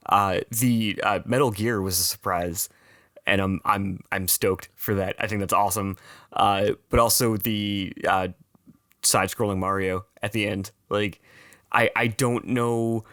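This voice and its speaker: A man with a raspy voice, chatting casually as a podcast co-host and repeating words as he thinks aloud. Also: fairly low pitch, steady tone, a little slow, fairly bright tone, dry recording, studio quality. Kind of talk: casual chat.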